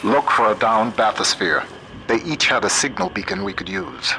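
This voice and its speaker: An actor playing a shrewd, principled American computer scientist in a video game, middle-aged, wry, measured and plain-spoken. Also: male voice, mid-range voice, big pitch swings, gravelly, very noisy. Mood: steady, guiding. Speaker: guide character. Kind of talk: video game voice acting, audio diaries and radio guidance.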